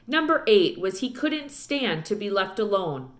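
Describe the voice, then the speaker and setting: A woman's voice: medium pitch, big pitch swings, measured pace. A woman narrating a children's book aloud expressively, recorded with roomy echo.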